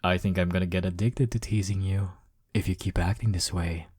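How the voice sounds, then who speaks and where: Deep-voiced, cocky flirtatious college rival acted by a man. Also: fairly even intonation, brisk, husky, no echo, clean studio sound. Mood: low, teasing. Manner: smug, flirtatious, drawling teasing with soft chuckles. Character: cocky, teasing male college rival smitten with listener. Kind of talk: intimate romantic audio roleplay.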